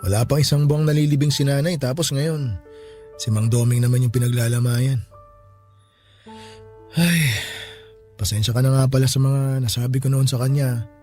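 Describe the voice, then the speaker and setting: A man's voice: mid-range voice, fairly even intonation, moderate pace, long pauses, mellow, lightly acted. A man with a Filipino accent acting as a hot-tempered, superstitious young friend in a radio drama, with music behind him. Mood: subdued, earnest.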